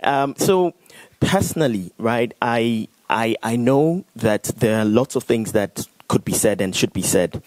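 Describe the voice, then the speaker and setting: A man's voice: medium pitch, expressive, unhurried, dry recording, low-quality recording. A man asking a panel a probing question from the audience, opening with playful jokes. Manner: playful, joking, then probing.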